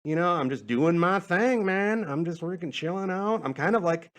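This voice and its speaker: A man's voice: sits high, expressive, normal speed, fairly bright tone. A man in his forties hosting a casual podcast, rambling along in a laid-back, self-deprecating way. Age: 40s. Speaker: podcast host.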